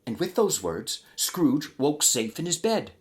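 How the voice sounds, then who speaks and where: A man narrating a rhyming story theatrically, with dramatic pauses and hushed emphasis. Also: fairly high pitch, sing-song.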